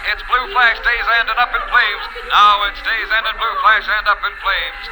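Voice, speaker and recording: A high-pitched male race caller played by an actor gives steady horse race commentary. It sounds like a vintage radio drama broadcast, with music in the recording. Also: moderate pace, lightly acted, poor audio. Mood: urgent. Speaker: race announcer.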